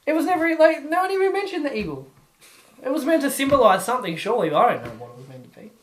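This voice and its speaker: High-voiced, fast-talking man, echoey. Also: sing-song. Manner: argumentative, sweary. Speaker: podcast host. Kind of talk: casual film discussion. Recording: echoey, roomy sound.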